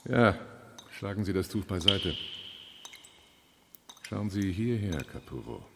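Police commissioner in a radio play: very deep, commanding, insistent, heard in a long hall reverb with music behind. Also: male voice, sing-song, slow pace, vocal fry, noisy background, heavily acted. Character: commanding male police commissioner questioning a suspect.

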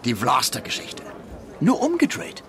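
Radio play patron: smug, watchful foreign power broker, very varied intonation, loud music behind. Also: male voice, medium pitch, huge pitch swings, unhurried. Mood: appraising. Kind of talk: theatrical radio drama acting.

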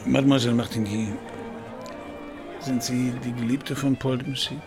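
An actor playing a wry, probing private detective who narrates and questions in a German radio crime drama, dry and laconic, with a gravelly voice. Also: male voice, mid-range voice, little pitch movement, moderate pace, over music, close to an everyday voice. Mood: polite, businesslike. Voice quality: gravelly, creaky.

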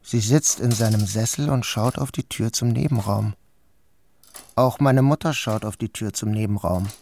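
A man narrating a literary audio drama in the first person, calm, measured and introspective. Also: fairly low pitch. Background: music.

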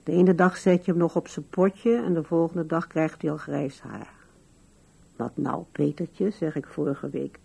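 An elderly widow muses tenderly in a radio play. Her voice is deeply gravelly and mellow, and she speaks slowly and wistfully, as if thinking aloud. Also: exaggerated voice. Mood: gentle, musing. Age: older. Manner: slow, musing, wistful. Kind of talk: radio drama acting, reflective monologue.

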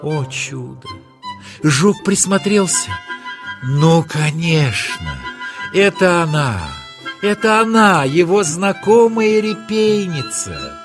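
A male storyteller narrates a children's fairy tale over clear background music. His delivery is slow and dramatic, with a very lively, swooping melody. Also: fairly high pitch. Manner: expressive, dramatic.

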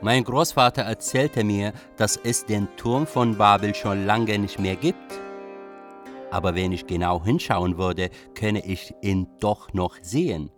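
A man acting as a reflective Iraqi storyteller, pensive and measured, in a literary radio play. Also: fairly low pitch, music in the background, close to an everyday voice. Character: reflective male Iraqi storyteller recalling childhood. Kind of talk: literary first-person radio play narration.

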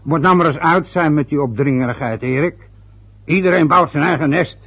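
Man acting as an overbearing mocking father, gravelly husky voice, dull lo-fi radio sound. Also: a little high, normal speed, a bit bright. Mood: domineering. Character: domineering boastful father, middle-aged man. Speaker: radio play actor.

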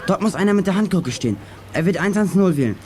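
Boy detective, high voice, commanding, clipped, children's radio play, noisy recording. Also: animated, fast, a little mellow, a faint crowd. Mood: brisk, planning. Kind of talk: German children's audio drama acting.

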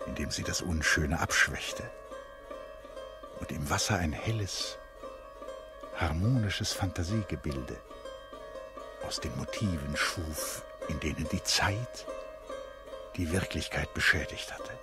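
A man narrates a literary radio drama in a very deep voice. His delivery is measured and contemplative, and plucked string music plays clearly behind him.

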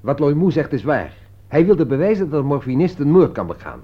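A man acting an articulate, insistent gentleman who persuades and commands as he defends a friend in a Dutch radio play. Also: a little high, very animated, brisk. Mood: urgent pleading. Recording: dull, lo-fi radio play recording.

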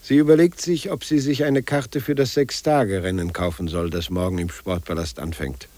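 A man narrating a 1950s radio play in short, measured, deliberate scripted sentences. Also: low-pitched, steady tone, a little mellow, a little hiss or hum.

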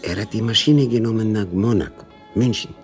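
A man's voice: fairly low pitch, brisk, faint music behind, close to an everyday voice. An actor playing a shrewd, talkative Italian informant with an Italian accent who mixes Italian words into his speech in a radio drama. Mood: matter-of-fact. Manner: slips Italian words into broken German.

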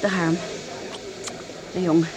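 A woman's voice: fairly low pitch, little pitch movement, slow pace, background noise. An actress playing an affectionate, wistful young woman in a conversational radio drama.

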